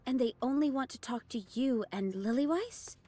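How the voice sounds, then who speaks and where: A woman's voice: high-pitched, expressive, normal speed, dark tone, soft background music. An actress playing a poised, spirited young woman soldier in an anime game. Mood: poised, doubtful.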